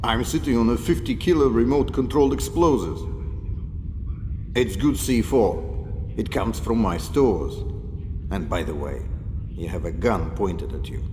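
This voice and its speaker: An actor playing a sardonic, world-weary male arms dealer in a video game, with wry, deliberate, theatrical phrasing. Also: fairly low pitch, lively intonation, a little mellow, a little hiss or hum. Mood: defiant, grim. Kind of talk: video game cutscene acting.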